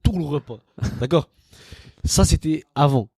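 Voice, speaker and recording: A man's voice: on the high side, measured pace, creaky, husky, no room sound, studio quality. A young man from northern France, a comedian guest chatting casually on a podcast and acting out quoted people. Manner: animated, acts out quotes in shifted voices.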